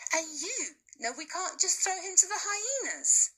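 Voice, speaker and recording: A woman's voice: extremely high pitch, big pitch swings, unhurried, bright, forceful tone, pitched above the performer's own voice, full character voice, low-quality recording. A woman reading aloud to a class, voicing a determined, tender mother on a farm, firm and pleading.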